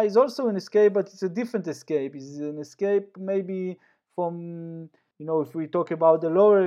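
A man's voice: on the high side, mellow. Israeli-accented man, passionate, lo-fi sound. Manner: passionate, repetitive restarts, many uh fillers. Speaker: guest sports journalist. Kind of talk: podcast interview answer.

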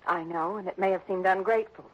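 An actress playing a defiant, headstrong young adopted heiress in a 1960s radio drama. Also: female voice, fairly low pitch, steady tone, quick pace, close to an everyday voice. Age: young adult. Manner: headstrong, defensive. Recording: dull lo-fi old radio broadcast.

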